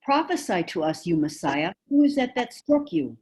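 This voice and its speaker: A woman's voice: lowish voice, big pitch swings, moderate pace, a little mellow. A woman playing a hostile, mocking accuser in a dramatic scripture reading. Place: remote recording on a video call.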